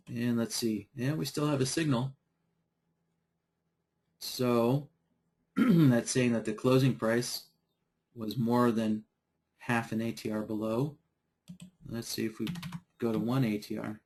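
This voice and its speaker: A man teaching trading software in a live online session, losing his voice from a dry throat and clearing it often, talking in a halting, unhurried way. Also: mid-range voice. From Idaho. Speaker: software trainer. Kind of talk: live webinar screen-share tutorial.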